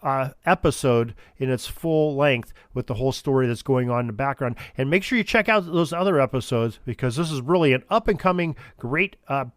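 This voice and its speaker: A man's voice: medium pitch, a little fast, few pauses, dry recording, clean studio sound. A man hosting a sports history podcast, talking informally in a rambling, folksy way. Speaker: podcast host.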